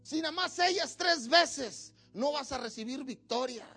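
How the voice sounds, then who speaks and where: High, slow, bright-voiced preacher. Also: expressive, gravelly, soft background music. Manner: emphatic, repetitive exhortation. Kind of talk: sermon.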